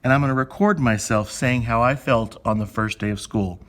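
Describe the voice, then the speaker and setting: A man's voice: medium pitch, moderate pace. Man teaching young children, informal and chatty. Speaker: elementary school teacher. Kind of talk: step-by-step lesson for young children, thinking aloud.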